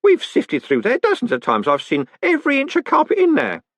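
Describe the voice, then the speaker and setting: A man voicing an elderly, excitable postman in an audiobook, chatty, folksy and deferential. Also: high voice, a little fast, dry recording, pitched above the performer's own voice, full character voice. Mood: excited. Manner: folksy, colloquial, eager. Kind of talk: audiobook character voice.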